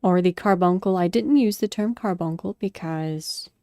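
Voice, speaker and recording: A woman's voice: lowish voice, moderate pace, mellow, no room sound. A woman talking casually over her sculpting video, chatty and apologetic, a little congested. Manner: apologetic, chatty, with fillers and self-corrections. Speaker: sculpting vlogger. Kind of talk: casual spoken commentary over a craft video.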